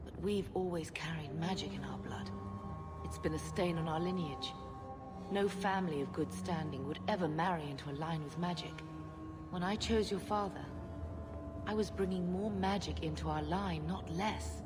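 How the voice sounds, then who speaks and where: A woman's voice: deep voice, normal speed, natural voice. An actress playing a proud, sorrowful older noblewoman and mother in a fantasy game, earnest and plaintive. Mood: wistful. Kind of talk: fantasy video game acting. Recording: loud music behind the voice.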